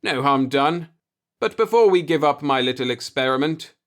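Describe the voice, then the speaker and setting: Male narrator doing an excited, confident cultured man, insistent, slightly raised pitch, sci-fi audiobook. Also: normal speed. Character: eager, self-confident, highly cultured man from another galaxy. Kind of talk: audiobook character voice in science fiction.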